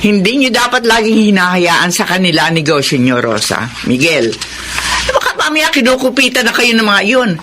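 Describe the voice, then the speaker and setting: Actress as a nagging, suspicious Filipino older sister. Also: female voice, medium pitch, big pitch swings, normal speed, natural voice. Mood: annoyed, nagging. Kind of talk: Filipino radio drama acting. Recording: noisy radio drama with background clicks.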